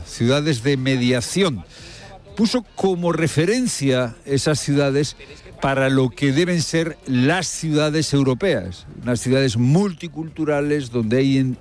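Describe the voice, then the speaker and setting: A male news presenter delivers live commentary in a medium-pitched voice. He leans on rhetorical questions and repeats his key phrases for emphasis. Faint music plays underneath. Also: darkish tone. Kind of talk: live spoken commentary with field reporting.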